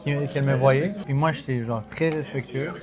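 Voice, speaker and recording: A man's voice: medium pitch, fairly even intonation, normal speed, loud music behind the voice. A young man from Iran, casually explaining dishes during a food tasting. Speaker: guest.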